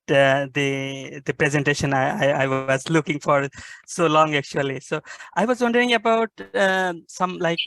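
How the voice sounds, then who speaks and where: A man from Bangladesh joins a video call to ask a question. His voice is fairly high for a man and gravelly, and the sound is dry. He speaks hesitantly, restarting his sentences as he goes. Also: a bit bright, no room sound. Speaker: workshop participant. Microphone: computer mic on a video call.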